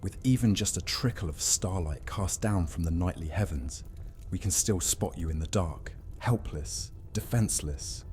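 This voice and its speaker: An actor playing a suave, predatory vampire in an audio drama, speaking in a theatrical, courtly manner. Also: male voice, low-pitched, lively intonation, moderate pace, darkish tone, a little hiss or hum. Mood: smug menace. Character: suave, menacing male vampire hunter, loyal to his mistress.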